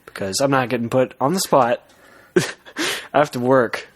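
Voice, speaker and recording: A man with an average-pitched voice co-hosts a podcast among friends. He talks casually and matter-of-factly, with a slightly defensive edge to his comic banter. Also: lively intonation, unhurried. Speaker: podcast co-host.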